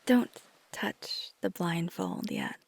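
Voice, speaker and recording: A woman playing a flirty, tender vampire in an intimate ASMR roleplay, speaking softly and gently, mostly in a breathy whisper. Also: on the low side, wildly swooping pitch, heavily acted. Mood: playful, flirty. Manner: slow, gentle, hesitant, teasing.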